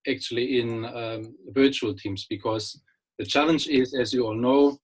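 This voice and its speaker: Husky-voiced German man lecturing. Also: medium pitch, a little slow, rough recording. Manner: hesitant, many fillers and restarts, friendly. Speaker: guest speaker, business lecturer. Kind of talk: webinar talk. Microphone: computer mic on a video call.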